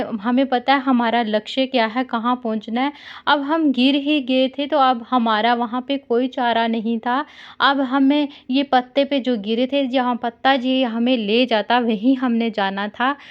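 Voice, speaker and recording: A woman chatting casually on a call, consoling and gently scolding as she tells a simple story in a conversational way. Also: a little high. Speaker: caller consoling an older sister figure. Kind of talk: casual phone chat with storytelling. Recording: dull lo-fi call recording.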